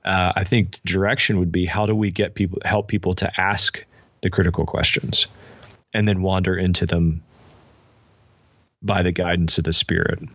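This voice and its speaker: American guy, low voice, reflective podcast guest, explains stuff carefully, no room sound, bit muffled. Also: level tone, normal speed, fairly bright tone, no room sound. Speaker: podcast guest, spiritual director and pastor. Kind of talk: reflective conversational interview.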